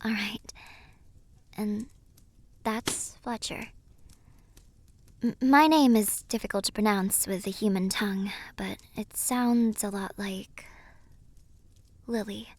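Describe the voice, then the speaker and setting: Mysterious siren, female actor: unhurried, breathy, gentle and otherworldly, close dry sound for nautical fantasy audio drama. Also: medium pitch, no echo. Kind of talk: cinematic audio roleplay acting.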